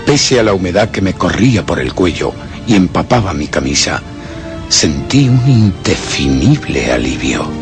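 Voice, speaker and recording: Deep, haunted gentleman murderer. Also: very animated. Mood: haunted, brooding. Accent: Castilian Spanish. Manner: brooding, dramatic, deliberate literary delivery. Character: tormented, guilt-ridden gentleman murderer narrating his descent into madness. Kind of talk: suspense and horror radio drama narration. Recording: music bed behind.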